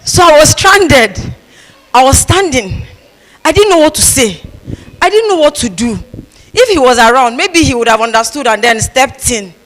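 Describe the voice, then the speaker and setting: A woman's voice: high voice, lively intonation, normal speed, a bit bright. A woman pastor giving a conference talk, telling stories with humor and repeating phrases for emphasis. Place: conference hall. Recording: long reverb of a large hall.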